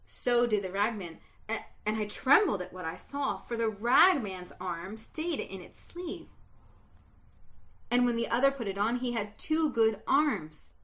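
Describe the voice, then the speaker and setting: A woman narrates a story in an unhurried, deliberate reading. Her voice is bright and forward, and her telling is expressive, swelling into fervent emotion. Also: a little high. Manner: slow, expressive, building to exultant emotion.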